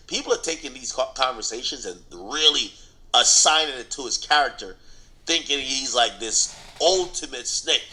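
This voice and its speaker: A man's voice: on the high side, pushed and bright, low-quality recording. A man giving casual, slangy commentary on celebrity gossip, bluntly and with repeated phrases for emphasis. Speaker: online commentator and host.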